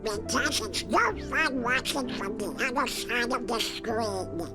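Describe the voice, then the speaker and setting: A blustery but loyal cartoon duck for a video game. The voice is a high, squawky quack, garbled and lively in pitch. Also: male voice, measured pace, over loud music. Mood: gruff affection.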